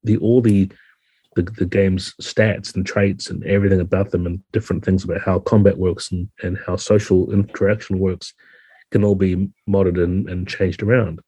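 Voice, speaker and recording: A man's voice: low voice, little pitch movement, normal speed, warm tone, husky, no room sound. A man speaking as a guest game designer in a podcast interview, explaining things in a conversational way.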